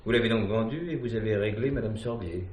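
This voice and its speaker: An actor playing a police commissioner in a 1970s radio drama, questioning witnesses with courteous insistence. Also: male voice, fairly low pitch, fast talker, a little mellow, slightly rough, dry recording. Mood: probing.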